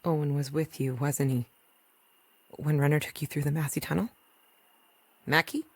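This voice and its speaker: A woman playing a rural doctor and protective mother in a post-apocalyptic audio drama, with a husky voice. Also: sits low, steady tone, moderate pace, no room sound. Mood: worried, guarded.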